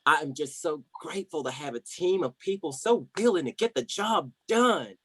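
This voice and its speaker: An actor playing an effusive, rambling, over-eager team manager, who stumbles and repeats himself, in a comedy web series. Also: male voice, a little high, animated, normal speed, a bit bright, slightly rough, no echo. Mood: excited, grateful.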